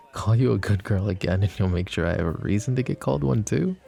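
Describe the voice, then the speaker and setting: A possessive, flirtatious mafia boss, acted by a man in an intimate ASMR roleplay. His voice is low and very mellow, with a heavy gravelly fry. He is smooth-talking and protective, with a controlled menace under the teasing. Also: animated, fairly quick.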